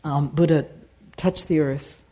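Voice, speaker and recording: A woman meditation teacher and singer answering audience questions after a dharma talk, thoughtful and searching, with many pauses and restarts. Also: sits low, steady tone, warm tone, rough recording.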